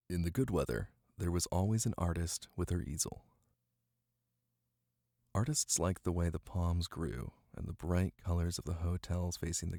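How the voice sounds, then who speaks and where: A man playing a caring, playful boyfriend who reads tenderly in an intimate ASMR style, with a deep, gravelly voice. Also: warm tone, no room sound. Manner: tender, teasing, close and cozy. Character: caring, playful young boyfriend reading to his partner. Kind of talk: intimate ASMR roleplay and bedtime story reading. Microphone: close microphone. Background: rain ambience.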